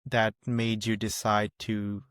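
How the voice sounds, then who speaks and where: Man, podcast host, low pitch, dry mic, polite encouraging questions. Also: monotone, slow pace, a bit bright, clear, resonant tone, dry recording. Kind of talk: podcast interview.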